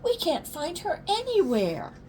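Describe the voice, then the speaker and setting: A woman's voice: very high voice, wildly swooping pitch, a little slow, a little mellow, slight background noise, pitched above the performer's own voice, full character voice. An older woman voicing a curious, theatrical little girl who plays detective in a storybook. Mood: suspicious, upset. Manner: theatrical, emphatic. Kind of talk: storybook read-aloud.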